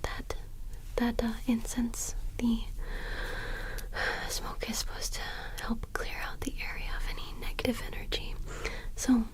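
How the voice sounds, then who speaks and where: A woman doing a soothing ASMR roleplay in a breathy whisper, gentle, repetitive and reassuring. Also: fairly high pitch, completely flat delivery, a little slow, low-quality recording. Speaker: ASMR host playing a witch.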